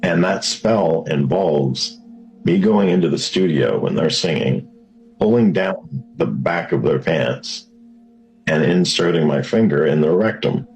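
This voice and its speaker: Male monologue, very low creaky register, matter-of-fact delivery, faint music underneath. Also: a little mellow, rough recording.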